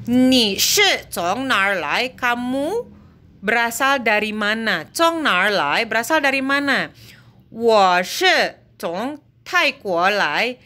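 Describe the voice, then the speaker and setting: A woman gives a Mandarin lesson at a very unhurried pace, stretching out each model word before she explains it. Her voice sits a little high and sounds bright and clear, and she now and then stops to correct herself. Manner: slow, drawn-out model pronunciation, self-correcting. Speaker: Mandarin teacher. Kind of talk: language lesson explaining vocabulary.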